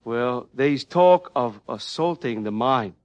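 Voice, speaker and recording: An actor playing a polite, serene, shrewd Chinese merchant in a radio western, speaking formal, deliberate broken English with a Chinese accent. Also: male voice, mid-range voice, expressive, lightly acted. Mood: courteous, innocent. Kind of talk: old-time radio western acting. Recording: muffled old radio recording.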